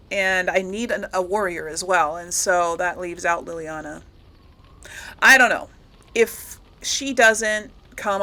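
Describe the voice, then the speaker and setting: A woman's voice: medium pitch, pushed and bright. A woman giving casual, rambling let's-play commentary to viewers, thinking aloud as she goes. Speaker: gaming video host.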